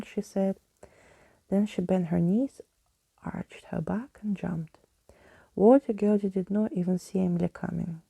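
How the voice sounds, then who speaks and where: Hushed, very creaky woman ASMR reader. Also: on the low side, dry recording. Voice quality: hushed, breathy, often whispered. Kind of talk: soft ASMR storybook narration. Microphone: close microphone.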